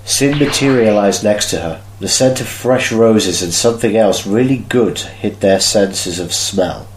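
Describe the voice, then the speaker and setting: Low-voiced man narrating in an echoey room. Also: unhurried, a little hiss or hum. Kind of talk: scripted fan-fiction narration. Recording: echoey room with faint music.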